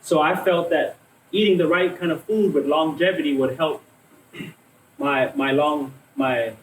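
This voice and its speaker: A man in his thirties tells his story in a loose, rambling way, full of fillers and restarts. His voice sits a little high for a man. He was recorded from a distance in an echoey room, with faint noise behind him. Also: unhurried. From Hawaii, raised in Las Vegas. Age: 30s. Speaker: vegan cook and guest. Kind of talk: casual storytelling.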